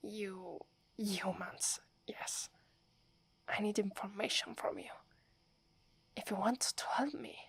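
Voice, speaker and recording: A woman with an Italian accent voicing a proud young werewolf woman, whispering softly close to the mic and stammering when flustered. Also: mid-range voice, takes it slowly, gravelly, long pauses, husky, full character voice. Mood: shy, flustered. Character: proud young werewolf woman, gruff but secretly fond. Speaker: ASMR roleplay performer. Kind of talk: whispered ASMR roleplay.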